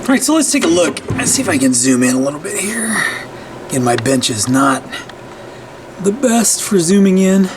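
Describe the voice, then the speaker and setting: A man's voice: fairly high pitch, big pitch swings, normal speed, background noise. Chatty man explaining a repair at his bench. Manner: conversational, frequent "right?" and restarts. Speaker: repair channel host. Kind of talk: casual repair tutorial. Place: workbench room.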